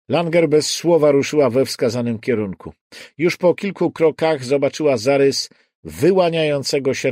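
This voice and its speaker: A man's voice: medium pitch, a little mellow, no room sound, clean studio sound. A man reading a crime novel as an audiobook narrator, in scripted narration.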